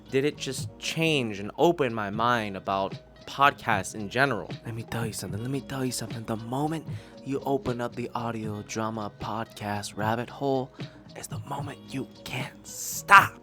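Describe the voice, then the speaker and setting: A man's voice: mid-range voice, expressive, normal speed, bright, forceful tone, soft background music. A man giving a casual, profanity-laced review in an emphatic, rambling, irreverent manner. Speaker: podcast reviewer.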